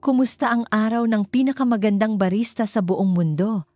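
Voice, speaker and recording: Romance story hero, played by a woman narrator: earnest, devoted man, Filipino accent, sincere and mellow. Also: a little high, normal speed, dry recording, faint music behind, pitched above the performer's own voice, natural voice. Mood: affectionate. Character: devoted, earnest male executive in love.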